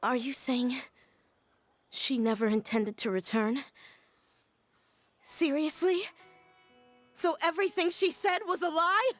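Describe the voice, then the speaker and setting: A woman's voice: high-pitched, steady tone, slow, pauses often, a bit bright, soft background music. A woman voicing an earnest young female student in a video game, telling her story in a plain, heartfelt way. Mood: shocked.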